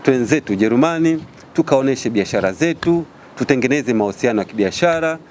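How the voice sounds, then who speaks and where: A man's voice: mid-range voice, animated, quick pace, a little mellow, a little hiss or hum. A man with a Tanzanian accent, speaking as an event organiser addressing a press conference.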